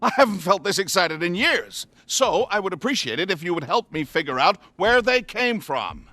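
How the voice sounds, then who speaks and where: A man voicing an eccentric, excitable older scientist in a video game, with a genteel, exclamatory manner. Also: sits high, normal speed, no echo, heavily acted. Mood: eager.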